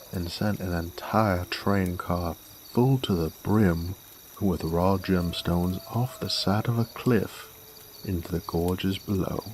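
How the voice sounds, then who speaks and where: A man playing a sardonic, menacing werewolf bounty hunter in a Western audio drama, with a folksy American Western drawl and a gravelly, unhurried delivery. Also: deep voice, darkish tone, slight background noise, exaggerated voice. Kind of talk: Western audio roleplay, intimate dramatic monologue. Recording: faint outdoor night ambience with crickets. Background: crickets and insects.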